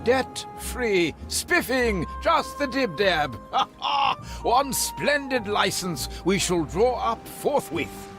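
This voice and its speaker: An actor playing an eccentric, pompous British gentleman official in a video game, savouring his quaint words. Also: sits high, big pitch swings, takes it slowly, hardly any pauses, music in the background, full character voice. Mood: gleeful. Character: jolly, plummy, eccentric old-fashioned gentleman official.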